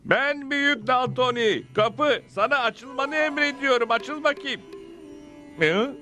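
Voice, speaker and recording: A man's voice: very high-pitched, takes it slowly, bright, forceful tone, soft background music, exaggerated voice. A man voicing a pompous, deluded cartoon outlaw in a grandiose, commanding manner. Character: pompous, deluded outlaw who claims supernatural powers. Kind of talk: Turkish cartoon dub acting.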